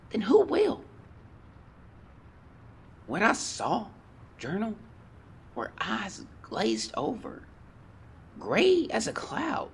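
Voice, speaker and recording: A man's voice: sits high, creaky, a bit bright, slightly rough, exaggerated voice. A man playing a haunted teenage boy explorer, solemnly and theatrically dictating a fearful journal in an audio drama. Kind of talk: dramatic first-person journal narration.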